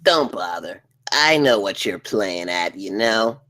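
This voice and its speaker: A woman's voice: extremely low pitch, expressive, slow, a bit bright, heavily acted. A woman playing a cocky, spiteful male spaceship officer with a boastful manner in a comedy table read. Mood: cocky, hostile. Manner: boastful, hostile.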